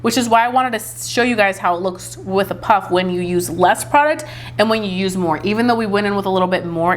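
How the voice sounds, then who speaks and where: A woman's voice: mid-range voice, a little hiss or hum. A woman vlogging a casual makeup review and demo. She talks in a chatty, filler-heavy, enthusiastic way and sounds a bit congested from a recent cold. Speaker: beauty vlogger.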